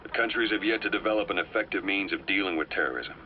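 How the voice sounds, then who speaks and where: Composed intelligence operative male actor, deep and deliberate, briefing a comrade in a military game over dull tape sound. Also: slight background noise, lightly acted. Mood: calm, explanatory. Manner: measured, matter-of-fact, deliberate. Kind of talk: dramatic video game briefing. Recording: dull cassette-tape sound with music behind. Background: music.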